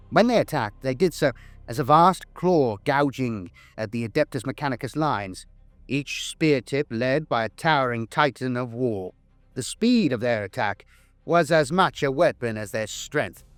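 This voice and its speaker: A man delivering scripted lore narration in a grandiose, theatrical storytelling manner. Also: medium pitch. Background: background music.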